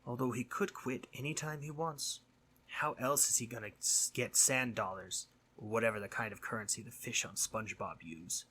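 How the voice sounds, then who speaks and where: Sleep demon , played by a man: fairly low, raspy, forceful edge; mischievous, earnestly concerned, casual teasing delivery for roleplay audio. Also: moderate pace. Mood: earnest, frustrated. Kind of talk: scripted roleplay audio monologue.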